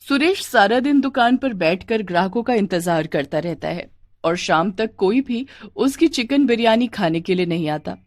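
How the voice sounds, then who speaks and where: A woman's voice: medium pitch, animated, moderate pace, no room sound. A woman narrating a scripted moral tale as a storyteller.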